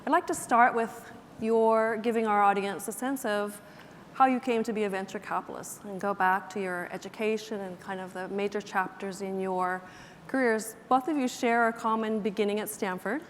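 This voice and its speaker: Woman moderator, measured and polite, reverberant hall, faint hiss. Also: medium pitch, fairly even intonation. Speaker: moderator host. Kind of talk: panel moderating and introductions. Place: museum auditorium stage. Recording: reverberant auditorium, faint background noise.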